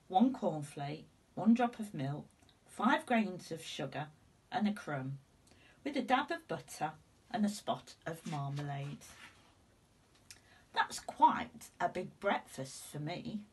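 Husky older woman reading a storybook, unhurried. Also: low-pitched. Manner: deliberate, animated telling. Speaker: teacher reading a picture book to children. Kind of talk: expressive storybook narration. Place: indoors at home, seated before curtains. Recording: slightly distant room microphone.

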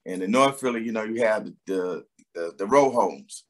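Homem de meia-idade com sotaque da Filadélfia. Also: male voice, on the low side, moderate pace. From Philadelphia, USA. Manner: relaxed, rambling, many fillers and restarts. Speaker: singer and guest. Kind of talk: casual interview chat. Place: home room with a door behind. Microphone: video call webcam.

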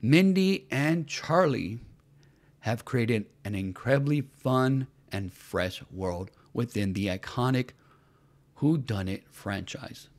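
A man's voice: mid-range voice, animated, slow pace. A man giving mocking commentary on entertainment news, sarcastic and fond of repeated rhetorical questions. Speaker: commentary channel host.

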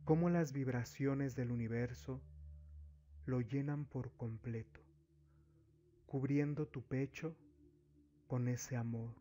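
A man narrating a guided meditation as a spiritual guide, giving deliberate instructions in short phrases with long pauses. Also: medium pitch, mellow. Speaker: spiritual therapist, meditation guide and channel host. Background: background music.

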